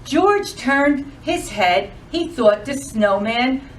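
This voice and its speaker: A woman reads a picture book to children in a fairly high voice. Her intonation swings widely and her delivery is unhurried and expressive, as at a library storytime. The microphone sits some way from her. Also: distant mic, slight background noise. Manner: expressive, addresses children with questions. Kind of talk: children's storybook read-aloud.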